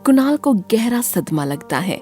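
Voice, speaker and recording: A woman narrating a scripted horror story in a dramatic, deliberate storytelling manner. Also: fairly high pitch, very animated, a little mellow, soft background music.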